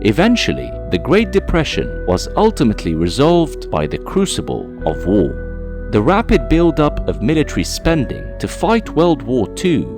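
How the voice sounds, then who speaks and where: A man's voice: mid-range voice, wildly swooping pitch, normal speed, music in the background. A man reading scripted documentary narration in a dramatic, emphatic storytelling manner.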